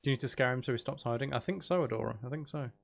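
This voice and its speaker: A man's voice: medium pitch, racing, vocal fry, a little hiss or hum. A man doing casual live gameplay commentary, rambling and thinking aloud as he chats with viewers. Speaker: video game livestreamer.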